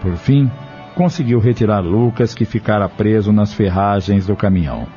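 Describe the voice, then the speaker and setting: A man narrating a scripted audio drama in a measured, dramatic storytelling manner. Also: on the low side, dark tone. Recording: dull lo-fi audio with music underneath.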